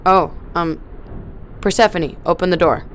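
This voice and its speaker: Woman acting a low-pitched, hesitant newcomer. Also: slight background noise, lightly acted. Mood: uneasy, tentative. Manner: tentative, halting, inquisitive. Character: hesitant, curious woman newly woken from cryosleep. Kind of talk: audio drama acting.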